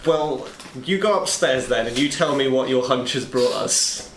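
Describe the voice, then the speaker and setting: A man's voice: medium pitch, animated, moderate pace, a bit bright. Guy at a game table talking thru his turn, kinda wry and wordy, echoey room. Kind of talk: casual in-character and table chat. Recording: echoey room with faint background noise.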